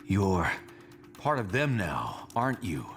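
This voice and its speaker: Game hero lead: principled soldier, firm, earnest, varied intonation, music behind. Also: male voice, sits low, huge pitch swings, slow pace, fairly bright tone, heavily acted. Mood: stunned, wary. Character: resolute, principled male soldier superhero leader. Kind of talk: dramatic video game cutscene acting.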